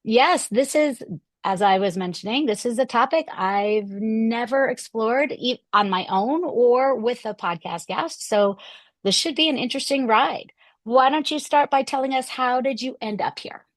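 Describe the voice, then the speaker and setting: A middle-aged woman from the United States, hosting a podcast with an earnest, steady delivery, her voice hoarse. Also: fairly high pitch, a little slow, no room sound. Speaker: podcast host. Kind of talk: podcast intro and interview.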